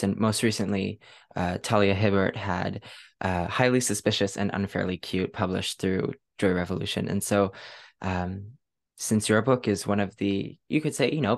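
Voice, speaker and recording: A woman hosting a casual podcast interview, enthusiastic, with a halting delivery full of ums. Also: very deep voice. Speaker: podcast host.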